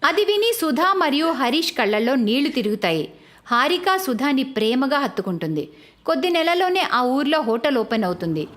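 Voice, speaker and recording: A woman's voice: sits high, big pitch swings, fairly quick, fairly bright tone. A woman reading scripted narration for a moral story as its narrator.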